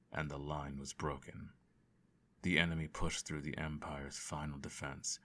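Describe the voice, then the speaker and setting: A man playing a stern, cold evil emperor in an audio roleplay, speaking to a child in a measured, imperious, formal way. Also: fairly bright tone, heavily acted. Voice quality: very deep voice. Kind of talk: roleplay audio, bedtime storytelling and lecture.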